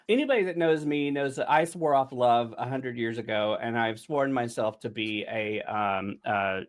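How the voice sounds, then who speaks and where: A man chatting casually and effusively, with emphatic phrasing, as one of the writers on a podcast. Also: medium pitch. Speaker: audio drama writer and podcast host. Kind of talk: casual chat.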